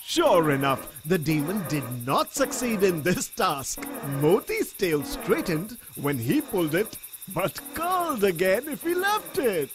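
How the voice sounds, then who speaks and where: A man's voice: high-pitched, sing-song, measured pace, music in the background. A man narrating a scripted children's story in a dramatic storytelling manner.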